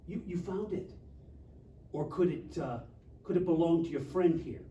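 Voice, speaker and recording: An actor playing a sarcastic, confrontational teacher of fifty in a live comedy table read. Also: male voice, a little high, moderate pace, mellow, lightly acted. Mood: suspicious, demanding. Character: hard-ass, sarcastic male high school teacher, fifty. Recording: echoey room, distant mic, faint noise.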